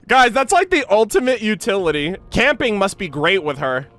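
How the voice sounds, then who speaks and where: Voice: medium pitch, big pitch swings, unhurried, a bit bright, no room sound. A streamer giving casual, slangy, exclamatory reaction commentary on a game to chat. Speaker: reaction video creator.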